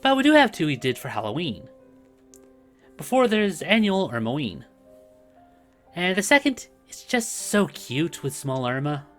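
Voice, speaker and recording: Animated comic recap host over background music. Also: medium pitch, unhurried, long pauses. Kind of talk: scripted comic recap narration with casual asides. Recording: music behind the voice.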